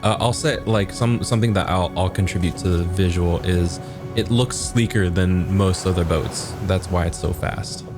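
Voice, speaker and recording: A man's voice: low-pitched, normal speed. A man playing a tabletop game, thinking aloud in a hesitant way with many restarts. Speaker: player. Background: background music.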